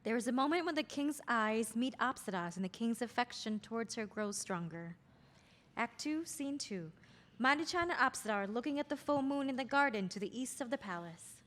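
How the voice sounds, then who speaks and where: A woman's voice: on the high side, expressive, moderate pace, fairly bright tone. A woman narrating stage directions in a scripted reading of a play.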